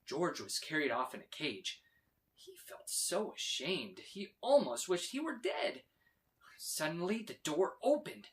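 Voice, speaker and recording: A man reading a children's storybook aloud in a dramatic, expressive way with emphatic delivery. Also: a little high, unhurried. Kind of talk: animated scripted storybook read-aloud.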